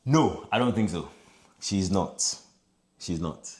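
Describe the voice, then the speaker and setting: Low-voiced Nigerian man, casual reaction host. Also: animated, takes it slowly, darkish tone. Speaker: host of a challenge reaction video. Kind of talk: casual reaction chat with Yoruba and Pidgin mixed in.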